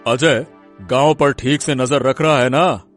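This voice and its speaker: A man's voice: medium pitch, steady tone, a little slow, lightly acted. Man acting a menacing village boss over music. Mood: scolding, scheming. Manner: stern, domineering, scolding. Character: menacing, vengeful village big man scheming against a young woman. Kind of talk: Hindi cartoon story acting.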